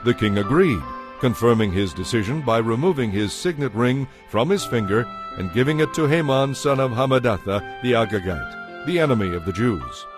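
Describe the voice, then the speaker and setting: A man's voice: medium pitch, expressive, normal speed. A man narrating scripture as a scripted, dramatized story, with a solemn storytelling delivery. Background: music.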